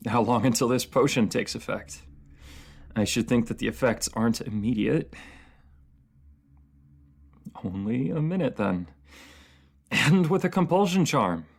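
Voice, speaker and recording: A man playing a proud, bitter captive ruler in a fantasy audio drama, speaking in formal, haughty, taunting phrases with a gravelly voice. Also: medium pitch. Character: proud captive enemy ruler, sardonic, bitter, secretly admiring.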